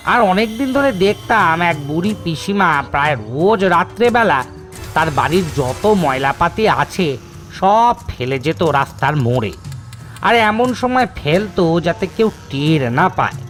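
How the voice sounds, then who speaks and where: A man's voice: fairly high pitch, sing-song, unhurried, fairly bright tone, soft background music. A man voicing a self-pitying sweeper ghost. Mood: aggrieved. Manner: plaintive storytelling tone. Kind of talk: cartoon voice acting.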